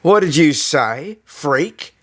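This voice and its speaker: A man reads the part of a big, dim-witted school bully in a children's audiobook. He sneers his threats with open malice, pitched up and pushed out hard. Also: lively intonation, slow pace, a bit bright, full character voice. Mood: sneering.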